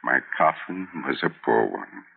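An actor playing a vengeful dead man risen from the grave, speaking with slow, solemn menace in old-time radio horror style. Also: sing-song, heavily acted. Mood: mournful, accusing. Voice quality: very deep, gravelly. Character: vengeful walking corpse of a wronged worker. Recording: muffled old radio recording.

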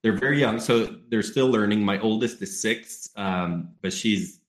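A very low-voiced man from Ecuador, a native Spanish speaker who talks with an American English accent. He explains his business in a measured, businesslike way, with frequent ums, and his voice carries a roomy echo. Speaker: guest, travel company executive. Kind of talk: podcast interview.

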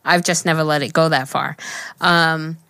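A woman co-hosting a laid-back podcast, talking in loose, rambling runs that restart mid-thought. Her voice sits a little low and the sound is dry and close, with no room around it. A faint throat tickle gives her the odd cough. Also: steady tone, moderate pace, pushed and bright, clear, resonant tone, no echo. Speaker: podcast co-host. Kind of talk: casual chat.

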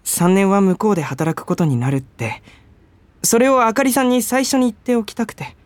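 A woman's voice: medium pitch, moderate pace, no echo, close to an everyday voice. A woman acting in a radio drama as an earnest young male junior coworker, polite and boyish, with a teasing side. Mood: serious, sincere.

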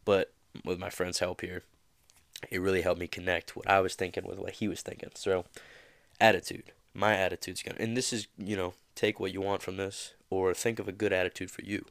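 Low-voiced, slightly nasal man with a cold. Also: level tone, a little fast, gravelly, a bit bright, no echo. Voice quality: a little nasal. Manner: casual, rambling, many fillers. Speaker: podcast host. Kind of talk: motivational podcast monologue.

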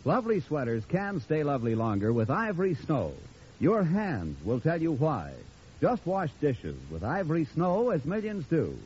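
A man's voice: on the high side, wildly swooping pitch, moderate pace, darkish tone, slightly rough. A man reading a 1940s radio advertisement in a polished, reassuring sales manner. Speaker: commercial announcer. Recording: dull vintage radio recording.

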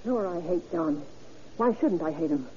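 A woman's voice: on the low side, expressive, normal speed, mellow, lightly acted. An actress playing a bitter, fearful, slangy gangland woman in an old-time radio crime drama. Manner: slangy, tough-talking. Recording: muffled vintage radio broadcast.

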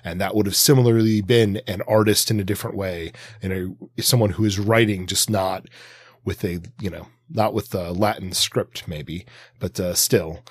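A man's voice: sits low, steady tone, moderate pace, husky, dry recording. A man co-hosting a podcast in casual analytical discussion, thinking aloud and rephrasing as he goes. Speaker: podcast co-host.

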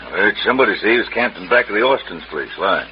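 A man playing a rough, eager outlaw henchman in an old radio western. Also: on the low side, expressive, very fast, natural voice. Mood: eager, conspiring. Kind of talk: old-time radio western villain acting. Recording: muffled, very noisy vintage radio recording.

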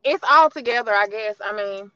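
A woman's voice: medium pitch, moderate pace, pushed and bright, clear, resonant tone, dry recording, highs cut off. A woman hosting a casual podcast interview in informal, slangy, joking talk. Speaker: podcast host.

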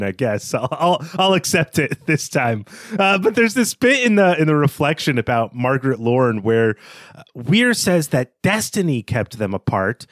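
A man's voice: mid-range voice, no echo, studio quality. A man co-hosting a podcast in casual literary discussion, speaking in an enthusiastic, informal way. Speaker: podcast co-host.

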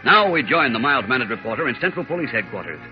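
A man's voice: mid-range voice, sing-song, fast talker. A man narrating a 1940s radio serial as announcer, grand and suspenseful. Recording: muffled old radio recording. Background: loud dramatic music.

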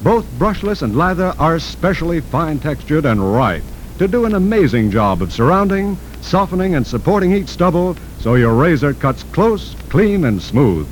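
A man's voice: sing-song, moderate pace. A man with an American accent announcing a sponsor's advertisement in polished, agreeable 1940s radio style. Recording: noisy, rumbling 1940s radio recording.

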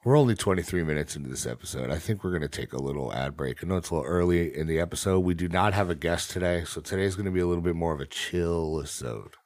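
Young male podcast co-host, sick and stuffed up, deep voice, dry close sound. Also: fast, few pauses, a little mellow, dry recording. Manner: sniffly, heavy breathing. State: sick and congested. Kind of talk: casual chat.

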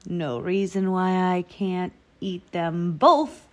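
A woman voicing a sly, greedy storybook wolf who talks in a smooth, courtly, mock-polite way. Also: on the low side, takes it slowly, darkish tone. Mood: smugly greedy.

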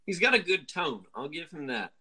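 Bright-voiced man with short teasing reactions. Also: on the high side, very animated, moderate pace, breathy edge. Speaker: podcast co-host. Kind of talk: casual music chat.

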